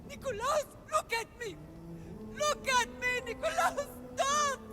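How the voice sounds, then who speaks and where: A woman's voice: very high-pitched, unhurried. A woman playing a desperate mother in a video game, crying out and pleading in anguish. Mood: frantic, anguished. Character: desperate mother pleading fiercely for her children. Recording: loud music under game audio.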